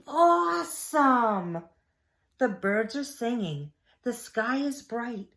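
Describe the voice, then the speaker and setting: A woman voicing a small, excitable storybook squirrel with loud, stretched-out words. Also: a little high, takes it slowly, vocal fry, voice pushed up, heavily acted. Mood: delighted. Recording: echoey room.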